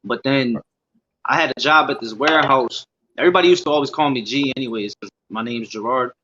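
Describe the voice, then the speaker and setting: Bright-voiced laid-back man on a call. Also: mid-range voice, a little fast. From Scranton, Pennsylvania. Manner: laid-back, rambling with fillers. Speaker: guest rapper. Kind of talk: casual interview chat. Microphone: video call. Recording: dull lo-fi call audio with faint noise.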